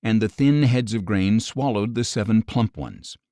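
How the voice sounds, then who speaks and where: A man reading as a measured Egyptian king, low-pitched. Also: warm tone, no echo, studio quality. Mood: grave, troubled. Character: troubled, commanding king of ancient Egypt. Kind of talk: audiobook scripture reading.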